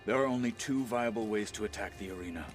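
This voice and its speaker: A man plays a grim, loyal warrior marshal in a game, low-voiced and matter-of-fact. He sounds a little distant from the mic, with clear music underneath. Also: fast, lightly acted. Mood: grim tactical. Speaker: game character.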